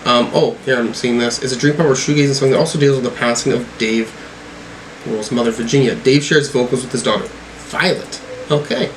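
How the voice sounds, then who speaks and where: A man's voice: medium pitch, a little fast, slight background noise, rough recording. A man giving a casual music reaction as a host, musing and thinking aloud as he talks. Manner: musing, thinking aloud while reading out lyrics.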